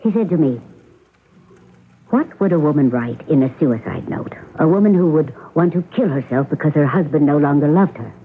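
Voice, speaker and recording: A woman's voice: low voice, expressive, normal speed. Through a narrow, faintly noisy old radio recording comes an aging stage actress in a mystery play. She is poised and sardonic, choosing her words with theatrical, ladylike care. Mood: sorrowful, confessing. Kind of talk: radio drama acting.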